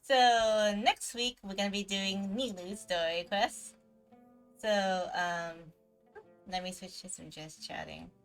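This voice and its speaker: A woman's voice: medium pitch, fairly even intonation, takes it slowly, soft background music. A woman hosting a livestream, chatting casually and reacting to a game in an easygoing, hesitant way that trails off between thoughts. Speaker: livestreamer, VTuber host.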